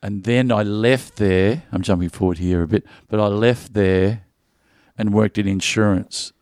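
A man's voice: on the low side, steady tone, a little slow, a little mellow, no echo, clean studio sound. A middle-aged Australian man from Melbourne, a comedian guest in an interview, chatting and telling jokey stories with lots of restarts. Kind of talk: casual interview chat.

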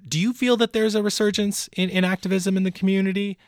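A man hosting an interview, speaking casually and warmly affirming his guest, with frequent fillers. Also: high-pitched, steady tone, fast talker, no room sound, clean studio sound. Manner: friendly, with many fillers and affirmations. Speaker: podcast host.

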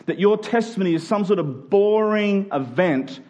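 A male preacher with a fairly high voice delivers a sermon to his congregation. He speaks emphatically, posing rhetorical questions and repeating key phrases to drive them home. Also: animated, normal speed, darkish tone.

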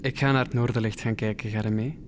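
A reserved young Flemish man, played by an actor, speaking in short, hesitant phrases. He is gently persuasive, in the natural, intimate manner of an audio drama, over faint ambient noise. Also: on the low side, steady tone, quick pace, natural voice. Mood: coaxing. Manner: laconic, hesitant.

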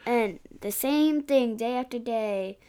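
Female voice, fairly high pitch, podcast co-host, casual chat, simple literal phrasing. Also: slow pace, dark tone, smooth. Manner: simple, literal explanations with frequent "like". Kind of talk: casual chat, commenting on comic strips.

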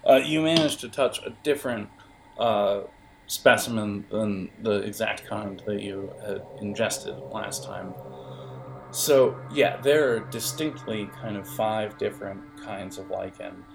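A man with a fairly deep voice that often drops into vocal fry. He acts as game master, describing scenes off the cuff and pausing as he searches for words. Soft music plays behind him. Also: low-quality recording. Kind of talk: improvised tabletop game narration.

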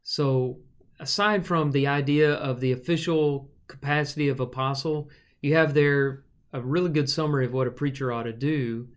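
A man's voice: mid-range voice, fairly even intonation. Reedy-voiced man teaching scripture. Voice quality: thin, reedy voice. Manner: thoughtful, explanatory, pauses with fillers. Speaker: podcast Bible teacher. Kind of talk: casual teaching conversation.

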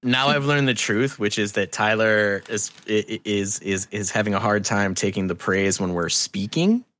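A middle-aged man from the US East Coast, a podcast guest chatting casually and effusively, with halting restarts and swearing. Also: fairly low pitch, a bit bright.

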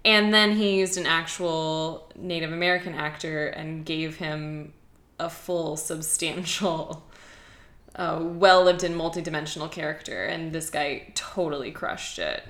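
A woman's voice: sits low, unhurried, fairly bright tone, reverberant. A woman co-hosting a podcast, chatting casually and emphatically about favorite television performances. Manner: enthusiastic, emphatic. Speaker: podcast co-host.

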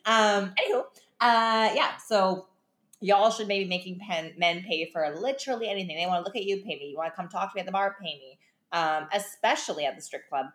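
A young woman with a deeper, husky voice, talking bluntly in a casual podcast chat and laughing often. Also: brisk, a bit bright. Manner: blunt, laughs often, uses mild profanity. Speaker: podcast co-host. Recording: echoey room.

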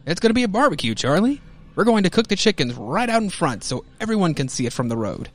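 A man's voice: sits high, very animated, fast talker. A man voicing an ambitious restaurant owner with a grand, enthusiastic salesman's manner.

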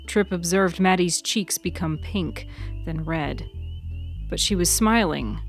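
A woman's voice: on the low side, slow, faint music behind. A woman reading scripted fiction as the narrator of an audio story. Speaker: author and narrator.

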